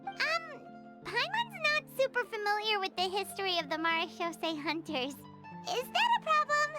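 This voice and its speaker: Squeaky tiny fairy sidekick. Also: female voice, normal speed, music in the background. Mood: curious. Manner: excitable, refers to herself by name. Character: small chirpy floating fairy companion, curious.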